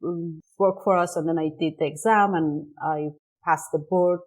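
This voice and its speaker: A middle-aged woman from Iran, a native Persian speaker, chats as an interview guest. Her voice sits low and sounds dark and mellow. She talks thoughtfully, with many ums, repeats and restarts. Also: steady tone, normal speed, low-quality recording. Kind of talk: casual interview conversation.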